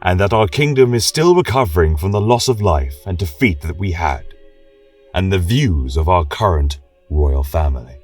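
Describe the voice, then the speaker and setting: Husky guy telling a solemn fantasy story, really slow, echoey room w choral music behind. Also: sits low, far from the mic. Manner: solemn, dramatic. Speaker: narrator. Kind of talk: scripted fantasy storytelling narration.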